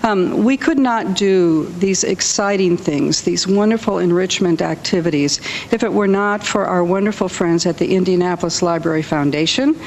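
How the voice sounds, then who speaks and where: A woman's voice: moderate pace, few pauses, a little mellow. Woman giving a welcome speech, echoey hall. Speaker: library host introducing speakers at a launch event. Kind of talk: prepared welcome speech at a public event. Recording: echoey hall, rumbling room noise.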